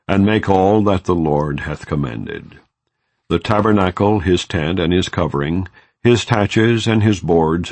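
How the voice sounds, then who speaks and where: Audiobook narrator: man with a low voice and lively intonation, delivering measured, solemn Bible readings. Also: no room sound. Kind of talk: scripted Bible reading, non-dramatized.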